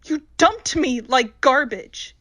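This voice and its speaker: High, wailing female ghost. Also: expressive, slow pace, fairly bright tone, no echo, clean studio sound, full character voice. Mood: anguished, accusing. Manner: wailing, accusatory. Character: accusing ghost of a murdered young woman. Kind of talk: radio horror drama.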